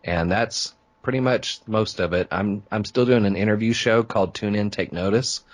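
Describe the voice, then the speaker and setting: A man's voice: on the low side, normal speed. A man who hosts podcasts, chatting informally and telling anecdotes in a casual interview. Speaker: podcast host being interviewed.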